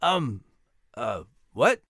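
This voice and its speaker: A man's voice: mid-range voice, sing-song, extremely slow, sharp tone, dry recording, clean studio sound, exaggerated voice. Man doing Max, a blustery Scottish animal adventurer. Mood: hesitant, earnest. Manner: hesitant, rambling, folksy.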